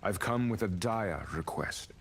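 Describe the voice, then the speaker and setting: A man's voice: lowish voice, expressive, measured pace, slight background noise. A man playing a stern but courteous wandering adventurer hero in a fantasy game. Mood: polite, earnest.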